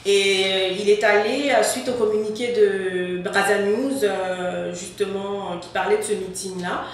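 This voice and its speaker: A French woman, a guest in an interview, answering haltingly with many fillers. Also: medium pitch, steady tone, slight background noise. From France. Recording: echoey, distant mic.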